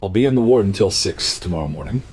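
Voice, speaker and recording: A man playing a calm hospital doctor in a radio drama, reassuring and matter-of-fact. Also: on the low side, fast, slightly rough. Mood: calm reassurance.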